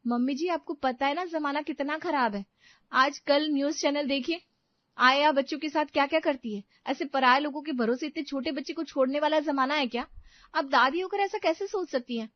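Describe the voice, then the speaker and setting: Woman as a high-voiced, complaining young daughter-in-law. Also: a little fast, dry recording, dull audio, close to an everyday voice. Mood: worried, protesting. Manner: protesting, incredulous.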